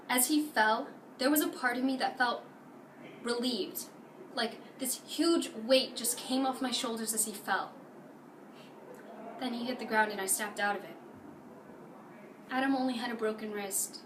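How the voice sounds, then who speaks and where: A woman's voice: on the high side, close to an everyday voice. A woman playing a distraught, defensive young mother in an intense, pleading dramatic monologue. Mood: confessional. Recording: roomy, distant mic, loud background noise.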